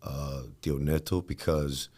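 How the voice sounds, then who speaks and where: A man's voice: rumbling low, fairly even intonation, slow pace, a little mellow. A man from Miami, a fitness trainer, chatting loosely as a podcast guest with lots of fillers and restarts. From Miami, Florida, USA. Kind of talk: casual interview talk.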